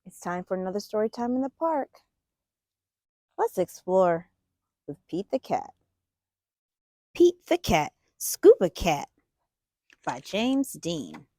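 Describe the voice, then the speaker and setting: A woman's voice: medium pitch, huge pitch swings, slow, long pauses, mellow, no room sound, clean studio sound. A woman hosting a children's storytime, reading a picture book aloud expressively and putting questions to young listeners. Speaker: children's storytime host and reader.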